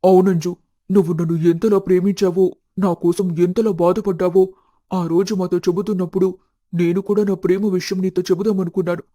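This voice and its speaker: A man voicing a reluctant young man in love, earnest and protesting, in an animated family story. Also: high voice, brisk. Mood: confessing. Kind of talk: animated moral-story dialogue.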